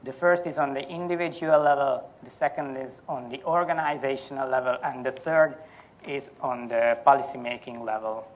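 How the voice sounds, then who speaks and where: Slow, careful male conference lecturer. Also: on the high side. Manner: halting, careful, deliberate delivery. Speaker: academic conference speaker. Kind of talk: formal lecture presentation. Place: indoor university lecture hall.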